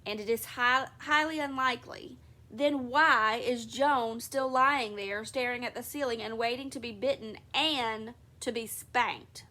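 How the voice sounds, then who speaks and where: Woman playing a gruff, loud dad in a storybook reading. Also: fairly high pitch, measured pace. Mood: exasperated. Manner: loud, exasperated. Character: sleepy father, loud and mildly gruff.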